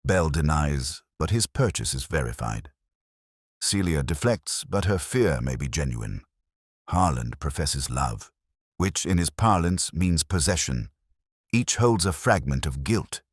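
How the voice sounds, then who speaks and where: Guy reading as a pompous brainy detective, really deep, husky, commanding, audiobook style. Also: animated, no echo, clean studio sound, exaggerated voice. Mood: pondering. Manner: pompous, measured, formal wording. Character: imperious, cerebral male detective, formal and commanding.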